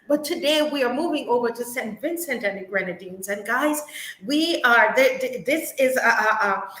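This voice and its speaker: A woman's voice: a little high, lively intonation, moderate pace, fairly bright tone, roomy sound. A woman hosting a live-streamed talk show, speaking earnestly and greeting viewers directly.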